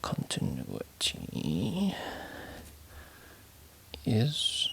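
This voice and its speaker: A man whispers his way through a story, stopping to mull over edits with hesitant fillers. His whisper is slow and unhurried, carrying a gravelly fry. The tone is bright and crisp, in an ASMR reading style. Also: fairly low pitch, wildly swooping pitch, slight background noise. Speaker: writer editing a story aloud.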